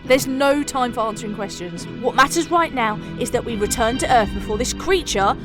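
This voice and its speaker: A dutiful, brave starship captain, played by a woman in an audio drama. She speaks firmly and earnestly in a fairly high voice, with loud music behind her. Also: animated, moderate pace, natural voice. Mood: urgent. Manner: earnest, firm. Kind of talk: fan sci-fi audio drama.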